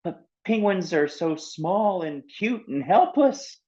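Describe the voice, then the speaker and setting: A man's voice: high-pitched, lively intonation, slow pace, a little mellow, highs cut off, voice pushed up. A man voicing a demanding, hot-tempered young girl in a picture book read-aloud. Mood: pleading.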